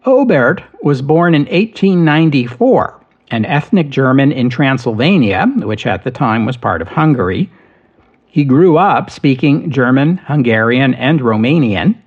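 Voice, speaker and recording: A man tells history in a measured, steady way, reading from a prepared script at a middling pitch. The recording sounds slightly dull and lo-fi. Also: husky. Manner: measured, steady storytelling delivery. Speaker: history podcast host.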